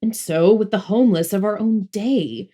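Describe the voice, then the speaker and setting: A woman's voice: medium pitch, normal speed, dark tone, natural voice. An actress plays a dismissive, dogmatic mother in an audiobook drama. She is a woman with a mid-range voice who speaks in a lofty, declamatory way. Every phrase is precise. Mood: stern, disbelieving. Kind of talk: dramatic audiobook dialogue and oratory.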